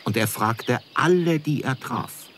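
A man's voice: mid-range voice, expressive, a little slow, fairly bright tone, a little hiss or hum. A man narrating a scripted children's story as storyteller.

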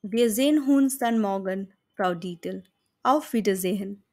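A woman with an Indian accent voicing a polite, hopeful young Indian woman looking for a job, in slow, carefully pronounced scripted dialogue for learners. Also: mid-range voice, warm tone, close to an everyday voice. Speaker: German teacher.

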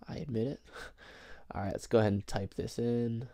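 A man's voice: medium pitch, steady tone, moderate pace, warm tone, no echo, clean studio sound. A young man casually walking through a phone demo step by step, chatty with small self-conscious laughs. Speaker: tech tutorial host. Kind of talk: casual screen-demo walkthrough. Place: indoors at a table, filming a handheld phone. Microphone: camera mic behind the phone.

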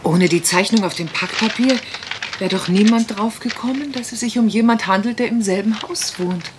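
A woman's voice: medium pitch, moderate pace, background noise, natural voice. A woman acting a shrewd, decisive, suspicious detective in a German radio crime drama, speaking with emphatic assurance.

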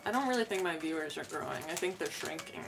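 A young woman streamer chatting casually and softly while wrapping gifts on her bedroom floor, stammering a little now and then. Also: low voice, fast talker, gravelly. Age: young adult. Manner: soft-spoken, relaxed, stammers a little. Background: background music.

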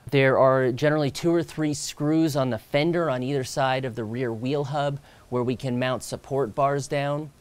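A man in his thirties presenting a product outdoors, explaining in a relaxed way with the odd filler and restart. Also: medium pitch, normal speed, hardly any pauses, clear, resonant tone. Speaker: company co-founder presenting product. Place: outdoors by a stone wall.

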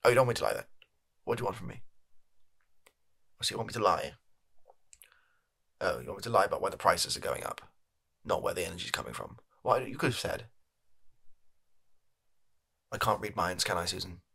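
A young British man playing a shameless, evasive energy company boss in an improvised comedy monologue, speaking softly with a halting, deadpan manner. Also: deep voice, creaky, husky, heavily acted. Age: young adult. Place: small home bedroom studio.

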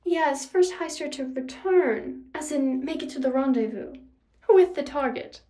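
A woman's voice: high-pitched, mellow, pitched above the performer's own voice. A woman voicing a smug female master thief who purrs and draws out her teasing in a story. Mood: taunting, triumphant. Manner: purring, drawn-out mockery. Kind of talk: acted audio fiction narration.

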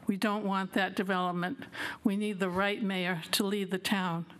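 An older woman at a council podium mic, reading a prepared public comment in a steady, measured way. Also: medium pitch. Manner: reads from paper, steady and measured. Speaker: resident speaking at public comment. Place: city council chamber at a podium. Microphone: podium gooseneck mic.

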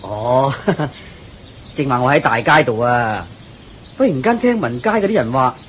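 An actor with a Hong Kong Cantonese accent playing an eager, curious, easily awed young Buddhist disciple, deferential and full of exclamations in a radio drama. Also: male voice, mid-range voice, expressive, measured pace, slight background noise, lightly acted. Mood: eager, admiring.

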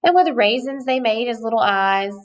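A woman with a fairly high voice reads a children's story aloud. Her delivery is expressive and rhythmic, recorded in a small room at arm's length from the device. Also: moderate pace. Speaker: storybook reader. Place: small indoor room with cupboards.

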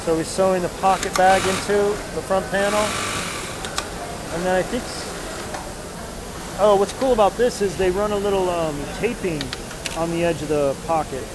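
A man's voice: high-pitched, normal speed, long pauses. A middle-aged man, a denim designer, casually explaining the work as he walks a large factory floor and points things out, recorded on a wearable camera mic. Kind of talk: casual walkthrough narration. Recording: loud machine and air conditioning noise.

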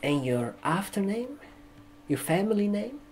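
A man in his twenties doing a soft-spoken ASMR barbershop roleplay, speaking haltingly in short phrases. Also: high voice, big pitch swings, noisy background. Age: 20s. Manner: slow, halting, hushed delivery with short phrases. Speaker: ASMR performer playing a barber receptionist. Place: indoor room, seated at a desk. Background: computer keyboard typing and clicks.

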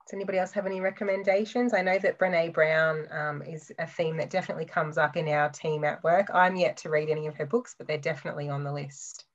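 A young woman with a fairly low voice moderates a video-call panel, upbeat and brisk between speakers. The audio is a touch dull, typical of a call. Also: steady tone. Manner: upbeat, reads audience questions aloud. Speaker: host.

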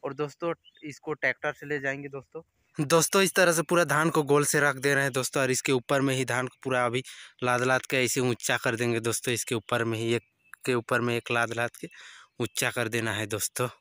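A man's voice: medium pitch, level tone, a little fast, sharp tone, no room sound. A young man, chatting to viewers on a village vlog while filming himself outdoors on a handheld phone. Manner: chatty, keeps addressing viewers as friends. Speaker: vlogger. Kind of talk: casual outdoor vlog narration. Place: outdoors in open farm fields. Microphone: phone selfie camera at arm's length.

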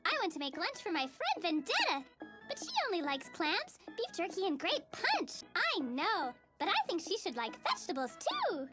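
Squeaky, gleeful little cartoon girl. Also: huge pitch swings, normal speed, exaggerated voice. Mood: chirpy, eager. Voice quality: squeaky, childlike. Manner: bubbly, gushing, sing-song. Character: relentlessly cheerful, naive, sweet little girl. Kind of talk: children's cartoon acting. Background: playful children's music.

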